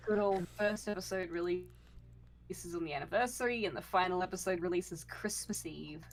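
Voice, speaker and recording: A woman talking casually as a guest on a podcast about her creative work, with a halting, giggly delivery. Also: on the low side, low-quality recording. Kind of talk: casual chat.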